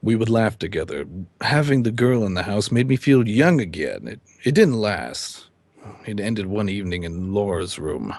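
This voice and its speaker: An actor playing a stern, middle-aged judge and weary husband, speaking formally and with measured delivery in an old-time radio drama. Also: male voice, lowish voice, expressive, darkish tone, no echo. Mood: confiding. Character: stern male judge, weary husband confiding his downfall. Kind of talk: old-time radio drama acting and first-person narration.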